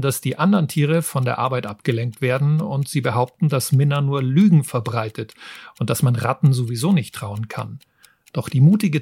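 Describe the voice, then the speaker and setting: A man's voice: medium pitch, a little mellow, slightly rough, dry recording, studio quality. A man hosting a book show, presenting reviews in a partly scripted narrating style. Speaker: book podcast host and reviewer.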